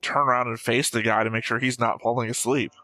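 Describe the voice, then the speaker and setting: Bright-voiced man giving casual reaction commentary. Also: medium pitch, flat delivery, fast talker, dry recording, rough recording. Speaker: reaction show co-host.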